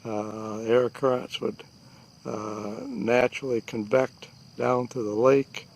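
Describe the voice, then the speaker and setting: A man giving a halting, deliberate, matter-of-fact informal field narration outdoors, recorded on a handheld device. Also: medium pitch, fairly even intonation, creaky. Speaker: lake resident surveying an algae bloom. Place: outdoors, out on a small lake. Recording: faint outdoor background noise.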